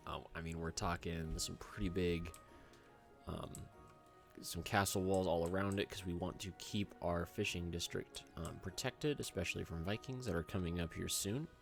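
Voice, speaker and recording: A young man hoarse from a cold, rambling casually with lots of fillers as he hosts his gameplay commentary. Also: low-pitched, fairly even intonation, moderate pace, faint music behind. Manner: rambling, filler-heavy and chatty. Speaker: gaming channel host.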